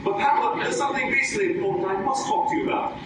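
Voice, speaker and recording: A man's voice: very high-pitched, racing, distant mic, heavy background noise. A man playing a pompous, stiff upper-class English husband in a radio comedy parody. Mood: prim, indignant. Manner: pompous, prim, exclamatory. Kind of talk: old-time radio comedy sketch. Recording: echoey stage recording, music behind.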